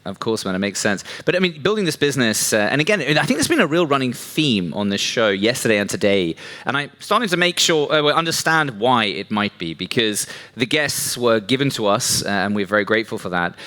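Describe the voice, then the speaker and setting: A man's voice: mid-range voice, big pitch swings, a little fast, few pauses, sharp tone. A man hosting a live talk show on a stage, chatty and jokey, talking to the audience. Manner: chatty, jokey, works the crowd. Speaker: show host.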